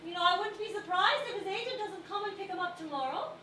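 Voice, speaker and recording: An actress playing a sweet, cheerful, attentive wife in a stage play, speaking in a gentle, chatty way. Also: female voice, extremely high pitch, fast. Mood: cheerful, caring. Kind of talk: stage musical acting. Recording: roomy, distant stage recording, faint noise.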